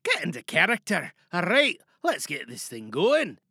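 A man's voice: high voice, wildly swooping pitch, unhurried, bright, forceful tone, slightly rough, no echo, studio quality. A man hosting a podcast in a casual, chatty, playful way, often thinking aloud. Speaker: podcast host and voice performer.

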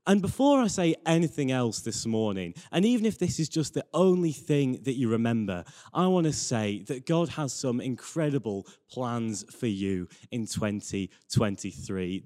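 Young man, British accent, lively pitch, conversational preacher, earnest delivery, reverberant hall. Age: young adult. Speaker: church intern preaching. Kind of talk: sermon with Bible reading.

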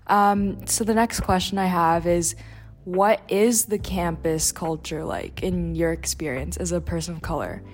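A woman's voice: fairly low pitch, fairly even intonation, measured pace. A young woman from Vancouver with a Canadian accent, hosting a student podcast in a conversational way. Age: young adult.

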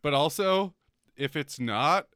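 Animated, bright-voiced male podcast host. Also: fairly high pitch, takes it slowly, no room sound. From North America. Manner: animated, profane, laughs often, ranting. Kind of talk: casual comedic chat.

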